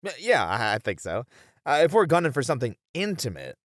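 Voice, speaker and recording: A man playing a hesitant but curious, excitable game developer, speaking casually and expressively in a scripted ASMR horror roleplay. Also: mid-range voice, brisk, no room sound, clean studio sound. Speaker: voice actor in an audio roleplay.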